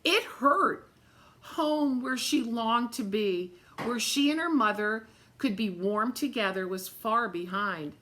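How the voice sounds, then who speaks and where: A woman reading a children's story aloud in an expressive, dramatic way, with chatty asides spoken directly to young listeners. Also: fairly high pitch, slow pace. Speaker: story-time reader for children.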